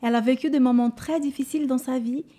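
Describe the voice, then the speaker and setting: Woman, interview host, somewhat high pitch, warm dark tone, informal, attentive. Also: steady tone, quick pace. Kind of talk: programme introduction and interview. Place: studio set.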